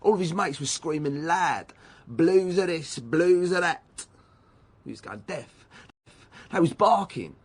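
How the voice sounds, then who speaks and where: Radio drama role: cocky London footballer under police questioning. Slightly husky, slangy, defensive and evasive. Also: male voice, on the high side, lively intonation, unhurried. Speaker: actor. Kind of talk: naturalistic radio drama acting.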